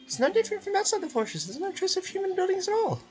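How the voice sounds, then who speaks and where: A woman voicing a small, excitable, childlike female fairy companion in a video game. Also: very high voice, very fast, soft background music, voice pushed up. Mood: curious. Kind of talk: video game character dub by a streamer.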